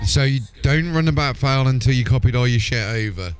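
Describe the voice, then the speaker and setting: A man's voice: medium pitch, lively intonation, brisk. Casual man streaming, music behind. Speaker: game streamer. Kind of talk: casual livestream chat, giving tech help to viewers.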